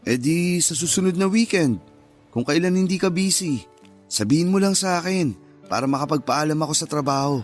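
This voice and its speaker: An actor playing a grieving, patient husband who pleads earnestly and emotionally in a Filipino radio drama. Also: male voice, on the high side, fairly quick, soft background music, natural voice. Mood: gently coaxing.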